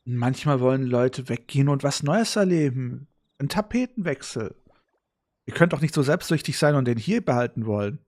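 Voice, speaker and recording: A man's voice: mid-range voice, lively intonation, normal speed, darkish tone. A man giving casual, offhand gaming commentary as a streamer, with a blunt way of talking. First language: German. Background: game music.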